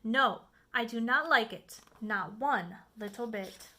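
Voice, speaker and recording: A woman's voice: a little high, slow, sharp tone, exaggerated voice. A woman voicing a fussy, alarmed male pet fish in a children's storybook, scolding in an indignant, bossy way. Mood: displeased. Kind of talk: storybook read-aloud.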